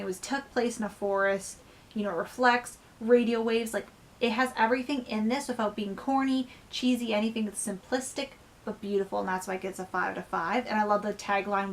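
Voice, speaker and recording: A woman's voice: mid-range voice, a little hiss or hum. Chatty young woman with a fading voice. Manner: chatty, lots of "like" and "um". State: losing her voice. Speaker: book reviewer. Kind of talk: casual book review vlog.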